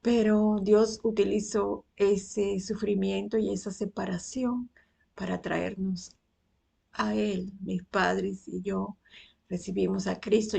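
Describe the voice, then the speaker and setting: Slow, gravelly Cuban woman in her sixties. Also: medium pitch, mellow. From Cuba. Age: 60s. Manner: unhurried, pausing often between phrases. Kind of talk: personal faith testimony.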